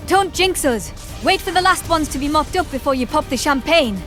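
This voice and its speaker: A man's voice: extremely high pitch, animated, moderate pace, sharp tone, pitched above the performer's own voice, exaggerated voice. A man voicing a wary, superstitious female space officer who scolds and cautions in a sci-fi audio story. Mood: amused caution. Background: background music.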